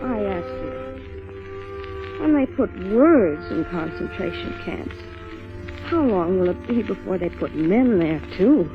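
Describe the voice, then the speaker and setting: A woman's voice: medium pitch, expressive, slow pace. A woman making a dramatic, emphatic political appeal in 1940s radio style. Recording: dull vintage radio recording with music.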